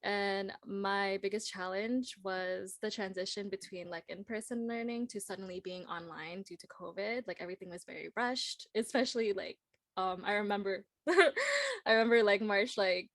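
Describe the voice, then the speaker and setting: A woman's voice: medium pitch, animated, normal speed, fairly bright tone, no echo. Young woman, casual talker, dry sound. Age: young adult. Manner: informal, peppers speech with "like". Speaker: guest speaker, social worker. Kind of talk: casual panel chat.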